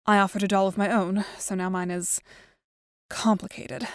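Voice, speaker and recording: A woman's voice: mid-range voice, level tone, no room sound, lightly acted. A woman voicing a composed, wry, articulate teenage girl in an audio drama, with formal, dry delivery. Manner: formal, dry, measured wording. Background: music.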